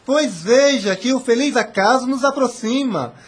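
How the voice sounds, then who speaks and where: A hearty, scheming businessman friend in a radio melodrama. His voice is high and raspy, and his delivery is effusive, formal and theatrical. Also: a little slow, full character voice. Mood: jovial, hearty. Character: jovial business-minded old friend, a hearty gentleman. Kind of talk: theatrical radio soap opera acting.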